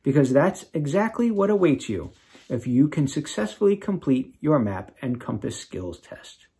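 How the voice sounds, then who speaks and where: A man's voice: medium pitch, big pitch swings, moderate pace, mellow, husky, full character voice. Man reading as a patient female camp counselor. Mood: coaxing.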